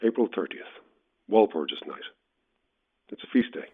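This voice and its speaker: An actor playing a probing, awkwardly blunt Irish newspaper journalist, heard through a telephone filter in an audio drama. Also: male voice, low-pitched, expressive, normal speed, slightly rough. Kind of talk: naturalistic audio horror drama. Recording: thin, narrow telephone line.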